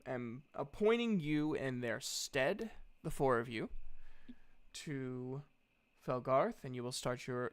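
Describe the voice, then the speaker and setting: Man voicing a formal, clear-voiced woman guild leader. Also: medium pitch, a bit bright. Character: brisk, orderly woman guild leader giving assignments.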